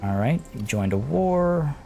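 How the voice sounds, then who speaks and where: A man casually talking through a strategy game as a let's play host. He is slightly hoarse from losing his voice and thinks aloud with fillers. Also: fairly high pitch, lively intonation, slow, darkish tone. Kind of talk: casual gameplay commentary. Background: faint game music.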